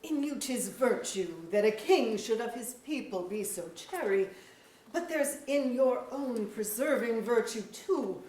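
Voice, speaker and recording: A woman's voice: a little high, expressive, a little slow, dark tone. A woman playing an earnest, loyal royal counsellor in a staged reading of archaic verse drama. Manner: courtly archaic verse delivery. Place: indoor auditorium stage. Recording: echoey auditorium with faint noise.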